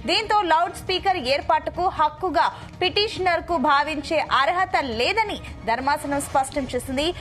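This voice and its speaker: A woman news presenter reading a scripted report in a formal, measured manner. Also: very high voice, fairly bright tone. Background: music bed.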